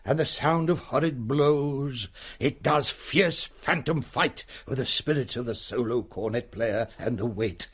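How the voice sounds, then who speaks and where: An English actor playing a jovial middle-aged Victorian uncle in a radio drama, telling ghostly tales with grandiloquent relish. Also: male voice, mid-range voice, a little slow, breathy edge. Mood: ghoulish, dramatic. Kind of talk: melodramatic radio drama storytelling.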